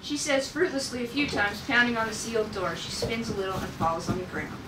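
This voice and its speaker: A woman's voice: on the high side, a little fast. A woman reading stage directions aloud as narrator at a table read of a script. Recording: echoey room, distant mic, noisy.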